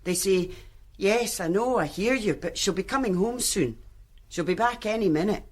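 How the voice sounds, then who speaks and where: An actress playing a calm female police detective, questioning a witness in a measured, probing way. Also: medium pitch, lightly acted. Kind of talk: radio drama acting.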